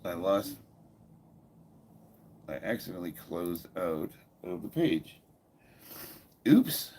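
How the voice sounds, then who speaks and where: Slow-talking, low-voiced man in his fifties, congested. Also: very animated, mellow. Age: 50s. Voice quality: stuffy, congested nose. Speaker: powerlifter and livestream host. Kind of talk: casual livestream chat while training. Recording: noisy room, ambient background sound.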